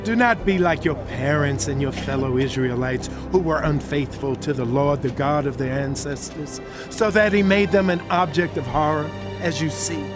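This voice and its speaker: A man's voice: fairly high pitch, normal speed, hardly any pauses, over music, close to an everyday voice. An actor playing an earnest, devout Hebrew king, declaiming solemnly in a dramatized scripture reading. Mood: urgent exhortation.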